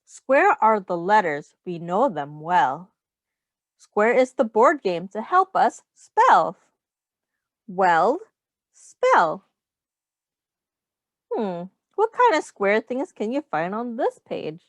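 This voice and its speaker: A woman reads a picture book to young children like a teacher, with a highly animated, swooping pitch. She takes her time, explaining in simple phrases and putting questions to the listener. The sound is close and dry. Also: takes it slowly, pauses often, dry recording.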